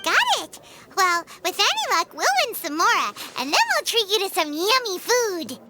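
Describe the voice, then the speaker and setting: A woman voicing a tiny, excitable, childlike fairy companion in a video game, squeaky and chirpy. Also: moderate pace, loud music behind the voice, heavily acted. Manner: chirpy, speaks of self in third person. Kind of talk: video game character dialogue.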